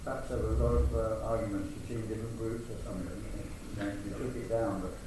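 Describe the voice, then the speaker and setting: English audience member, conversational, distant in a reverberant hall. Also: male voice, lowish voice, steady tone, quick pace, noisy background. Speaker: resident asking a question. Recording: echoey hall, distant mic.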